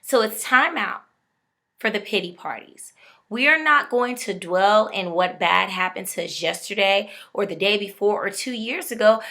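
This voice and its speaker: A woman's voice: fairly high pitch, pushed and bright. A young woman giving an emphatic, preachy motivational talk straight to her viewers. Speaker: motivational speaker.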